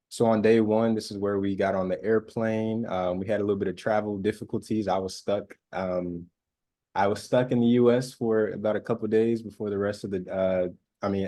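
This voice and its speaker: A man giving a relaxed slide presentation over a video call, with frequent "um" fillers. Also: deep voice. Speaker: student presenter.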